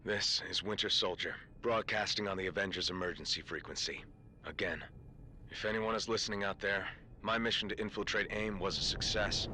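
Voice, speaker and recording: A man voices a wry soldier in a video game, giving an emergency radio report in a very deep voice. He sounds dry and matter-of-fact, with clear background noise behind him. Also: lightly acted. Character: gruff male soldier sending a radio message.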